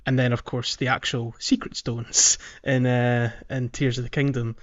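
Mid-pitched man on slightly dull audio. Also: level tone, normal speed. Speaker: podcast co-host. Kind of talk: casual film and game discussion.

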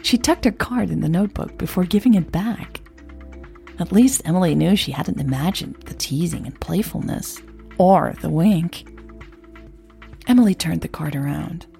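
A woman's voice: on the low side, animated, normal speed, vocal fry, warm tone, soft background music. A woman narrating scripted audio fiction as a podcast host.

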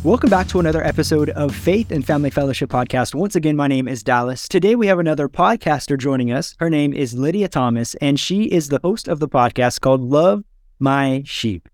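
A man's voice: a little high, lively intonation, a little fast, darkish tone, no echo, soft background music. A man who preaches, hosting an interview podcast in a conversational style.

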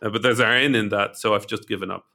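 A man hosting a podcast, talking in a playful, halting way with many restarts. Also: fairly low pitch, fairly even intonation, bright, forceful tone. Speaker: podcast host. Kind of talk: casual chat.

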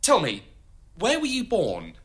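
An inquisitive interviewer in an audio drama, a little awkward and hesitant. His voice is bright and very animated in pitch, as he puts curious questions to his guests. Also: measured pace, heavily acted. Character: curious, slightly awkward male oral history interviewer.

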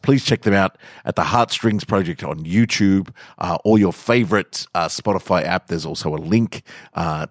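A man hosting a podcast and reading literary stories in a measured, deliberate way. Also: deep voice, dry recording, clean studio sound. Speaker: podcast host and story reader. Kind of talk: literary story narration and podcast outro.

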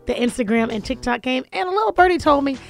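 Raspy-voiced woman radio host. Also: sits high, lively intonation, quick pace. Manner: playful, jokey, hyped radio delivery. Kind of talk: talk radio banter.

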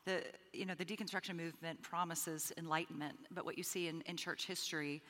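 A woman's voice: lowish voice, fairly even intonation, brisk, bright, forceful tone. A woman from the Bahamas, a guest on a live podcast, speaking in an earnest, emphatic way. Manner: emphatic, earnest, repeats words. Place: conference stage with hall. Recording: live hall reverb.

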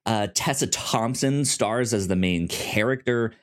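A podcast host chatting casually about reviews, with a halting delivery that repeats and stammers on words. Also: mid-range voice.

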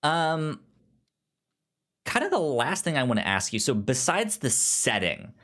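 A man co-hosting a podcast in a casual film discussion, halting and often repeating words and syllables as he forms his thoughts. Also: fairly high pitch, sing-song, fairly bright tone. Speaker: podcast co-host.

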